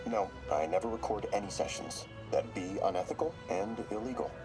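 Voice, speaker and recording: An actor playing a middle-aged male therapist in a video game, measured and professional but nervous under pressure. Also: lowish voice, over music, lightly acted.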